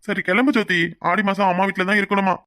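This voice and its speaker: Guy acting young husband, talks fast, teasing but soft on his wife, coaxing, dry close sound, family drama. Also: high-pitched, flat delivery, no room sound. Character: affectionate, teasing young husband, soothing and apologetic. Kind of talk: domestic serial drama acting.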